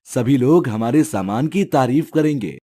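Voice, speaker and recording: A man's voice: medium pitch, expressive, normal speed, mellow, lightly acted. A man playing an honest, simple village snack seller in a storybook tale, speaking plainly. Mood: pleased, earnest. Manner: earnest, plain-spoken. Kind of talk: storybook character dialogue.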